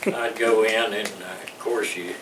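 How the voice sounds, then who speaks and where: A man's voice: on the low side, steady tone, measured pace. An older man with a Southern American accent, telling his service memories in a rambling, folksy way with chuckles between stories. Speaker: veteran interviewee. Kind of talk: recalling memories in an interview. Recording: echoey room, mic far off, clear background noise.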